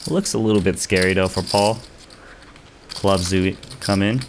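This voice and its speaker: Low-voiced poker commentator, measured. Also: male voice, background noise. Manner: measured, analytical hand reading. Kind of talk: play-by-play commentary.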